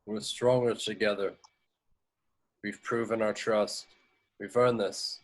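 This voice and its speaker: Man as slow-spoken, resolute superhero teammate. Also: on the low side, steady tone.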